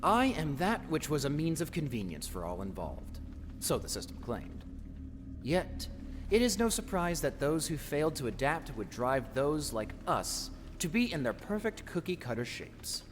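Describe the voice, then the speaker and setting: A man's voice: fairly high pitch, big pitch swings, moderate pace. A man playing a taunting, sinister voice inside a tormented man's mind in an audio drama, mocking and theatrical. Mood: sly, sinister. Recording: long reverb, faint background noise.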